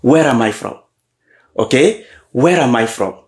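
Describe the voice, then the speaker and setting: A man giving a motivational leadership talk, often pausing to check in with his audience and repeating key questions. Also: mid-range voice, lively intonation. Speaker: leadership coach. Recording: roomy echo.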